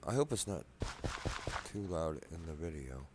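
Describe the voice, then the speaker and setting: A man quietly rambling through casual gameplay commentary in his apartment at night, a bit wheezy from a cold, soft-spoken and self-deprecating. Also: rumbling low, sing-song, moderate pace, slight background noise. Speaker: gameplay video maker. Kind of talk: casual let's play commentary.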